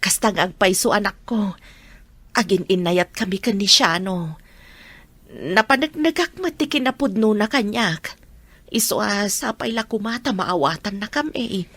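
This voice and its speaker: A woman's voice: mid-range voice, expressive, unhurried, a bit bright, slightly rough, no room sound. A woman playing a mother talking with her grown daughter in an Ilocano radio drama. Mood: gentle, confessing.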